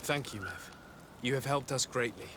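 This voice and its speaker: An earnest, noble adventurer of middling pitch, played by a male actor, speaks in polite, formal phrases. It is video game cutscene dialogue, with clearly audible background noise. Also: moderate pace, a bit bright, lightly acted. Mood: calm, courteous. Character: earnest, noble adventurer searching for a missing man.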